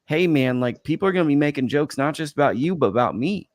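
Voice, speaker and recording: A man on a casual boxing talk show, relaxed and analytical, who reasons things out at length. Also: fairly high pitch, fast, no room sound. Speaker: podcast panelist. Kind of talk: casual panel chat.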